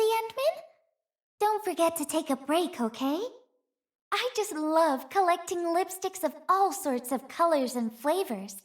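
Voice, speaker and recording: A woman voicing a cheerful, romantic young female courier in a video game, with a playful, airy and sweetly expressive manner. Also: extremely high pitch. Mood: friendly, playful, then dreamy, romantic. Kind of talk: game character voice lines.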